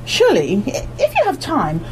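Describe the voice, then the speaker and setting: A homely hostess played by a woman fusses over her guests in a radio play. Her high voice swings very widely, with a faint hum behind it. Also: huge pitch swings, moderate pace, rough recording. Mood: puzzled, fussing. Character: homely, fussing sister hosting guests at home. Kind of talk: live amateur radio play acting.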